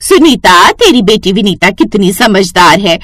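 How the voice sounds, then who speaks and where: Kindly neighbour for a cartoon, done by a woman: unhurried, admiring, praising, close dry recording. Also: mid-range voice, very animated, a bit bright, dry recording.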